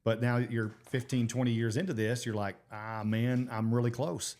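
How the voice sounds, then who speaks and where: A man co-hosting a casual podcast, a former teacher and coach, who asks questions and keeps chiming in with quick agreement. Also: medium pitch, level tone, darkish tone. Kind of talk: casual chat.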